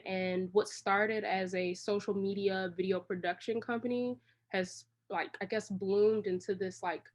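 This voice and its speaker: A woman from Washington, D.C., giving casual, long-winded answers as a guest in an interview. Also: mid-range voice. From Washington, D.C., United States. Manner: long-winded, rambling storytelling. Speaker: interview guest, creative director.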